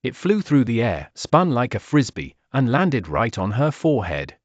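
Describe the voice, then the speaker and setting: A man's voice: medium pitch, wildly swooping pitch, moderate pace, dry recording, highs cut off. A man narrating a scripted children's story as a read-aloud.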